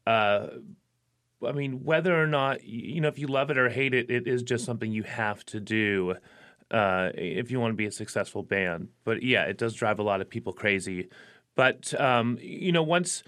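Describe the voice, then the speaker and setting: A man in his forties with a bright, forceful voice talks about life as a working musician. He rambles in a relaxed way, with many fillers and restarts. The pitch sits in the middle. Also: moderate pace. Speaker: touring musician guest. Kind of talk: casual interview talk.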